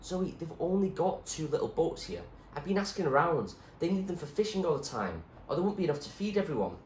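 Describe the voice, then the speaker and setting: A man's voice: fairly high pitch, expressive, fairly quick, darkish tone, lightly acted. A man playing an eager, loyal boy in a storybook reading, coaxing a friend in a matter-of-fact way. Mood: explaining. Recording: echoey room with clear background noise.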